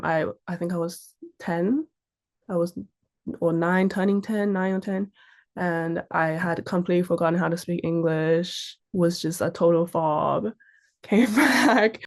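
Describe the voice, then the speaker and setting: A young woman with an Australian accent, chatting casually as an interview guest and telling stories in an informal way. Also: on the low side. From Sydney, Australia. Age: young adult. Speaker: fashion model, interview guest.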